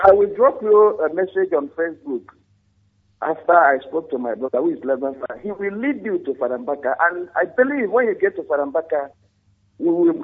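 Husky man on a tinny phone line. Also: fairly high pitch. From Enugu State, Nigeria. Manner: earnest, hesitant, filled with ums and repeats. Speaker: caller. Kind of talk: phone call to a radio show.

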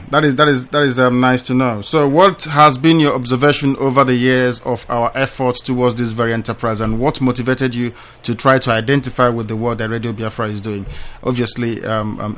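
A man hosting a call-in radio show, putting formal, measured questions to callers. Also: medium pitch, flat delivery, fairly bright tone.

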